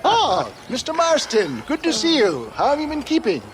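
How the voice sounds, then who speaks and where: Pompous, high-pitched old snake-oil salesman. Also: huge pitch swings, normal speed, noisy background, exaggerated voice. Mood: grandiose, courtly. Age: older. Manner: florid, pompous, theatrical orator.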